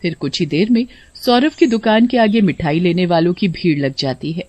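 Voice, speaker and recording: A woman's voice: fairly low pitch, normal speed, darkish tone, no room sound. A woman giving scripted narration for a cartoon story.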